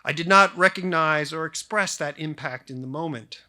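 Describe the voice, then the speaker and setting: A man in his forties with an American accent, delivering a prepared ceremonial speech in measured phrases with deliberate pauses. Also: a little high, sharp tone. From grew up in Japan, then suburban Detroit, US. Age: 40s. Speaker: school faculty member giving a valedictory speech. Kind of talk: prepared formal speech to graduating class.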